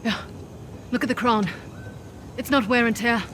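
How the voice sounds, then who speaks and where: A woman's voice: on the high side, moderate pace, fairly bright tone, a little hiss or hum, close to an everyday voice. A woman playing a blunt, no-nonsense female mercenary in a video game cutscene, with a dry, clipped, critical manner. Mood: observant, matter-of-fact.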